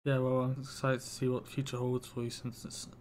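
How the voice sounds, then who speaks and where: A young man with a voice going hoarse, rambling casually with halting restarts and fillers. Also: medium pitch, monotone, a little mellow, a little hiss or hum. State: losing his voice. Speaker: YouTuber. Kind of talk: casual talk to camera.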